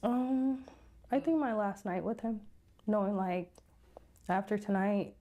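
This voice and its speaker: A woman's voice: mid-range voice, measured pace, warm tone. A woman from South Sudan giving personal testimony as an interview guest, in a hesitant, reflective way. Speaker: interview guest telling her life story.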